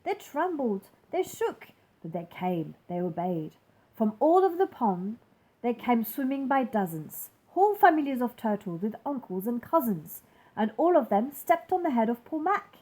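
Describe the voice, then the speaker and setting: A woman reads a children's rhyming story aloud. Her voice sits a little high and moves up and down a great deal, giving the narration an expressive, storytelling shape. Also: normal speed, poor audio. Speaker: story-time reader for children.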